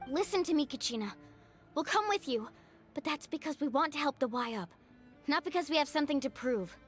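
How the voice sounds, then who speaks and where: A woman's voice: high voice, expressive, brisk, soft background music. A woman playing a caring, level-headed young warrior in a fantasy video game, reassuring yet firm.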